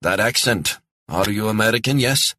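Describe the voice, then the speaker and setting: A man's voice: fairly low pitch, normal speed, pushed and bright, no room sound, clean studio sound, voice pushed up. A man voicing a friendly older retiree man in his sixties with an effusive, chatty manner. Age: 60s.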